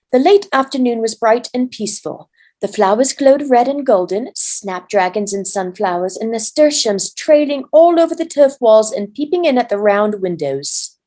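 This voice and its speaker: A woman's voice: fairly high pitch, mellow. A woman narrating a storybook as a volunteer reader.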